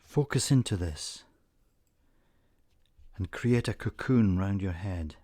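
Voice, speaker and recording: A man with a low voice leads a guided meditation at a very slow pace. His delivery is soothing and measured, broken by frequent pauses. The sound is dry and close, with no room around it. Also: animated, mellow, no room sound. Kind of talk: guided meditation narration, scripted.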